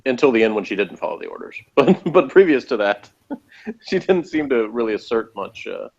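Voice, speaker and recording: A man's voice: mid-range voice, sing-song, a little fast, vocal fry, breathy edge, lo-fi sound. A man chatting informally as he co-hosts a TV review, talking in a hesitant, rambling way. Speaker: co-host of a TV review show. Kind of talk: casual review chat.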